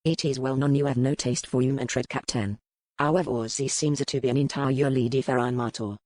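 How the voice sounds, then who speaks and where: Man as suave French merchant, French accent, probing, condescending, historical audiobook drama, mid-pitched, dry sound. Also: fairly even intonation, brisk, a little mellow, no echo, close to an everyday voice. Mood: probing, persuasive.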